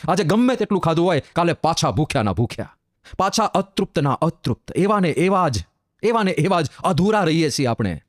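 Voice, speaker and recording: A male monk delivers a devotional sermon in a fairly high voice. He draws out sung verses slowly, then explains them with building, repeated phrases. The sound is close and dry. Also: no room sound. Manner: chants verses slowly, then explains with rising emphatic repetition.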